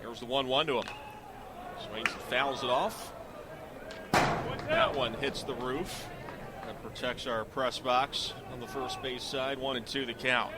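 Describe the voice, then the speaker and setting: A middle-aged man calling live sports on the radio as a play-by-play announcer, in measured, clipped phrases. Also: mid-range voice, very noisy.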